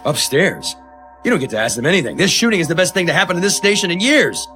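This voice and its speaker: An actor playing a brash, cynical TV news station boss in an audio drama, dismissive and pushy. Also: male voice, sits high, sing-song, fast, bright, forceful tone, faint music behind, heavily acted. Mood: gloating.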